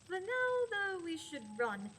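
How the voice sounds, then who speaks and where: A woman's voice: very high-pitched, big pitch swings, a little slow, background noise, full character voice. A young woman playing a sardonic, menacing witch in an amateur fantasy radio play. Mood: brisk, defiant. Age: young adult.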